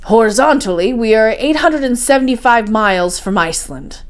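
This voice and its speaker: A woman's voice: on the high side, lively intonation, moderate pace, close to an everyday voice. A woman voicing a learned, confident older professor uncle in a story, speaking in an assured, didactic way. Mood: lecturing.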